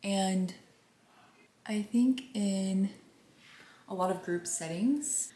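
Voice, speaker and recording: A young woman with a soft, gentle voice, chatting casually through a makeup routine, rambling and sometimes whispering. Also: medium pitch, very slow. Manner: rambling, drops into whispers at times. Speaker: beauty vlogger. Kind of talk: soft chatty get-ready-with-me vlog. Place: home. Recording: echoey room with faint background noise.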